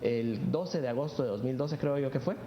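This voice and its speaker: A male guest commentator gives an explanatory answer. His voice sits in a roomy space, with clear noise and muffled sound. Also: mid-range voice, moderate pace. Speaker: studio guest commentator on migration policy.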